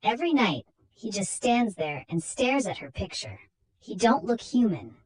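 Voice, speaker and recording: Woman doing a husky, suspicious theater doorman. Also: medium pitch, big pitch swings, moderate pace, pitched above the performer's own voice, low-quality recording. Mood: uneasy. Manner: colloquial, suspicious. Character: theater doorman, uneasy plain-spoken working man.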